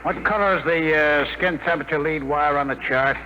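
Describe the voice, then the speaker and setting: Bright, wisecracking technician. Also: male voice, on the high side, fairly quick, rough recording. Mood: joking. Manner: slangy, wry. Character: wisecracking, skeptical male rocket technician. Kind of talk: 1950s radio acting. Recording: hissy 1950 radio broadcast.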